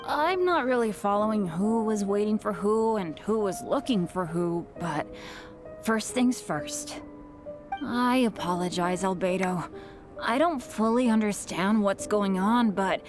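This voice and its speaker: A man's voice: high-pitched, expressive, measured pace. An actor voicing an earnest, apologetic young male adventurer. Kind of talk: video game voice acting. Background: game music.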